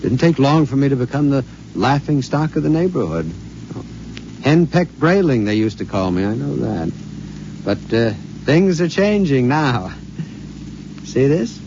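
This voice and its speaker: A henpecked middle-aged husband who has found a secret escape, stammering and gleeful as he confides in a friend. His voice rises and falls in lively swings. The recording is a dull 1950s radio broadcast with a faint hiss. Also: medium pitch, measured pace. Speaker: radio drama actor.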